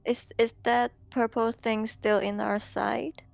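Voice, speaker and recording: A woman's voice: on the high side, little pitch movement, slow pace, gravelly, no echo. A woman playing a tabletop game, speaking hesitantly with ums and restarts. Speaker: player. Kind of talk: casual tabletop game chat.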